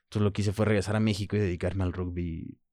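Deep-voiced mexican guy in his 30s, french first language, halting reflective interview talk, dry close sound. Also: little pitch movement, dark tone, no room sound. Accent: Mexican Spanish. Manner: reflective, halting, frequent "pues" and "¿sabes?". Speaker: guest. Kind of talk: personal interview.

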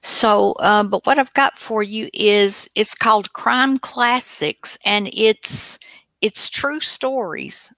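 Lady host rambling along real slow, croaky fry in her voice, folksy n teasing, dry sound. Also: medium pitch, bright, forceful tone, no echo. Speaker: co-host of an old-time radio online show. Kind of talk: casual unscripted chat introduction.

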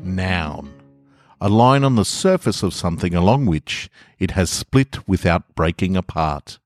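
Deep voiced guy, podcast host reading little stories, really dry close sound. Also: expressive, measured pace, a little mellow, dry recording, soft background music. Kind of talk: casual podcast intro, then narrated short stories.

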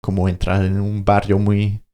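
A man's voice: fairly low pitch, completely flat delivery, normal speed, mellow. A man co-hosting a podcast, telling a story in casual conversation, hesitating and searching for words as he goes. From Colombia. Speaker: podcast co-host.

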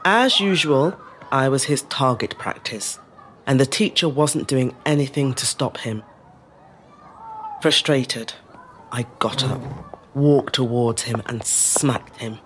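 A woman playing a resilient middle-aged woman telling her life story in a measured, reflective dramatic monologue. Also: extremely low pitch, slight background noise. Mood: defiant. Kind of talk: scripted dramatic monologue, first-person storytelling.

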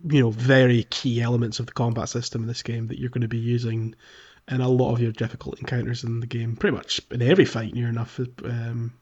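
A man hosts a casual gaming podcast with a mid-pitched voice. He talks through a game in a conversational way, and the audio is a little dull and lo-fi. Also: monotone, fast talker, a little mellow. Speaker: podcast host. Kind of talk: casual video game playthrough discussion.

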